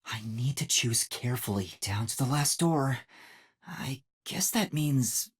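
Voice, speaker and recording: Timid young detective trainee, video game lines, earnest, hesitant, animated intonation, slightly husky. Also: male voice, medium pitch, measured pace. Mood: thoughtful. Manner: earnest, hesitant, thinking aloud.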